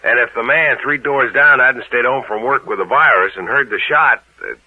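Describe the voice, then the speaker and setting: An actor playing a knowing, insinuating American investigator in an old radio crime drama, talking in a wry colloquial way. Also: male voice, medium pitch, normal speed, no room sound, lightly acted. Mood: sly, knowing. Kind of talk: 1950s radio drama acting. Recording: thin, tinny old radio recording.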